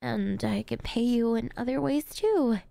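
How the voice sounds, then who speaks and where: Obsessive female supervillain, played by a woman, ASMR roleplay: doting yet menacing, unhurried, intimate delivery, very dry close sound. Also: on the high side, vocal fry, warm tone, no echo. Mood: eager, persuasive. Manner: theatrical, coaxing, intimate. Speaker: voice actress. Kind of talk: ASMR roleplay acting, addressing the listener.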